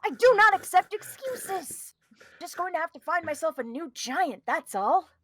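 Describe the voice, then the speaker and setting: Woman as an arrogant, very high-pitched villain boss. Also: sing-song, moderate pace, a bit bright, no room sound, clean studio sound, full character voice. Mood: threatening. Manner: imperious, exclamatory, scolding. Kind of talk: live table read of a film script.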